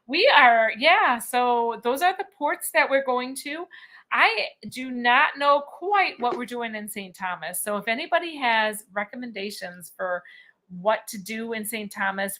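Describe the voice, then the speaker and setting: A middle-aged woman hosts a relaxed cruise live stream, rambling and laughing as she reads out comments. Her voice is quite bright and sits a little high. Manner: chatty, rambling, laughs often. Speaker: cruise vlog host. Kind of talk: casual live-stream chat reading comments.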